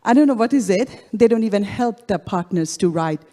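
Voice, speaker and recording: Woman on stage, earnest and halting, mellow voice, big reverby hall. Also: medium pitch, lively intonation. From Bangladesh. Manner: earnest, halting, repeats phrases. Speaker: award recipient. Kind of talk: onstage interview answers. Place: large event hall.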